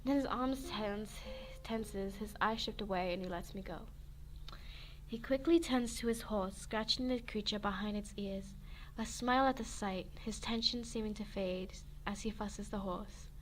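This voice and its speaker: A woman's voice: mid-range voice, moderate pace, a little hiss or hum, poor audio. A young woman reading an interactive romance story game aloud, playful and giggly, breaking off for jokes and corrections. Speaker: game narrator. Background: faint music.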